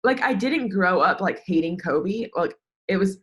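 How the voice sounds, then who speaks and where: A woman's voice: mid-range voice, lively intonation, a little slow. A woman in her twenties with an American accent, chatting casually as an interview guest and peppering her sentences with "like". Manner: informal, chatty, heavy use of "like". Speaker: podcast guest, sports social media worker.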